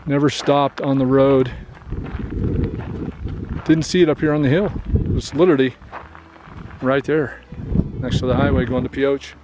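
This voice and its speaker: A man's voice: medium pitch, fairly even intonation, unhurried, long pauses. A middle-aged man vlogging casually outdoors in the desert, chatty and a little winded from hiking. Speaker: travel vlogger. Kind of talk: casual outdoor vlog narration. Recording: noisy outdoor recording.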